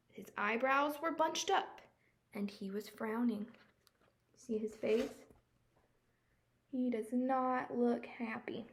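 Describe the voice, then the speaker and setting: Woman reading a picture book slowly. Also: a little high. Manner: expressive, explanatory, addressing young children. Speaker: storyteller. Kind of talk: children's picture-book read-aloud with questions to listeners.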